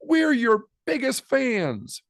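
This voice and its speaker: A man's voice: extremely high pitch, big pitch swings, slow pace, creaky, no room sound, clean studio sound, voice pushed up, heavily acted. A man voicing sassy, supportive elderly pig grandparents, cheering exuberantly in a children's storybook.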